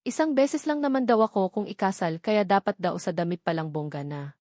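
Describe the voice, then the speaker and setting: A woman's voice: mid-range voice, a little fast, a little mellow, dry recording. Woman reading a novel, dry close sound. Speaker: audiobook narrator. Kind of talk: scripted first-person novel narration.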